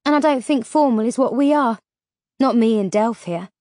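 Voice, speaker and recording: A bold, rough-edged young forest woman with a cheeky, colloquial way of talking, put on by a female narrator. It is a storybook voice in a very dry recording. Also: a little high, normal speed, mellow, no echo, natural voice. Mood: coy, needling.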